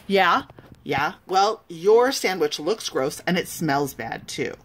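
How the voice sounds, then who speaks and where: A woman voicing offended, scornful schoolgirls from a storybook, with emphatic, drawn-out exclamations. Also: lowish voice, animated. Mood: scornful, angry.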